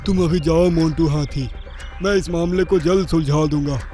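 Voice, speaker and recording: A man voicing a shrewd lion king in a children's cartoon, with deliberate, regal delivery. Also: on the high side, fairly even intonation, mellow, faint music behind, lightly acted. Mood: reassuring. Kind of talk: children's cartoon character acting.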